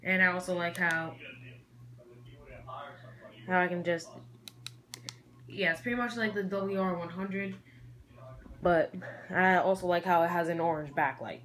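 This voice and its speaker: A hobbyist presenter with a feminine-sounding voice giving a casual, halting, rambling show-and-tell in a noisy amateur home recording. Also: medium pitch, fairly bright tone.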